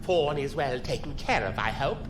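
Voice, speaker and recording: An actor playing a villainous military commodore in an audio drama, formal, condescending and authoritative. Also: male voice, mid-range voice, expressive, normal speed, close to an everyday voice. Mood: suavely controlling. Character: smooth, imperious male military commodore, villainous. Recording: clear background room ambience noise.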